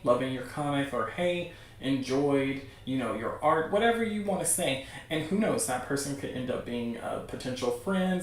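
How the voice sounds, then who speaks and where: A man hosting a livestream, chatting casually to viewers in an informal, encouraging way. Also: fairly high pitch. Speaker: livestream host. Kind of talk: casual chat to a livestream audience. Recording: very echoey room, distant mic.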